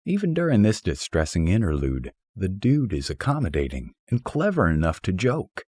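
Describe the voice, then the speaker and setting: Low, husky male audiobook narrator. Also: huge pitch swings, moderate pace, darkish tone, no echo. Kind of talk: scripted audiobook narration of a humorous philosophical essay.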